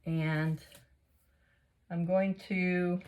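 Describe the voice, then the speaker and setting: A woman hosting a casual craft tutorial, chatting through each step and a little hoarse and sniffly. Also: fairly low pitch, flat delivery, drawn-out words, noisy background. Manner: chatty, narrates each step, gushes over results. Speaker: craft video host.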